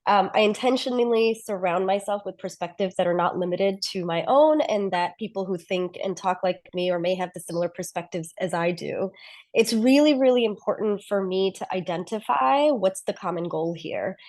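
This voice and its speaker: A woman's voice: medium pitch, moderate pace, a little mellow. A woman running for a board seat, answering a question on a video call in a reflective, thinking-aloud way. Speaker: board candidate.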